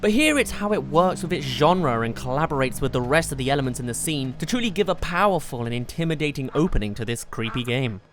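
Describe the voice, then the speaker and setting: A narrator presenting a scripted countdown review with emphatic, expressive delivery. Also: mid-range voice, quick pace, hardly any pauses, a little hiss or hum. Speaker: video game reviewer, host.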